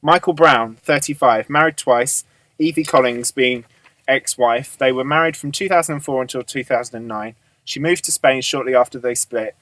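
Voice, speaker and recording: Police boss, male actor, measured and authoritative, amateur radio drama, mid-pitched, very dry recording. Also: fairly bright tone, no echo, close to an everyday voice, low-quality recording. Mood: matter-of-fact. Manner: measured, matter-of-fact, authoritative. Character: businesslike male police boss briefing his detectives.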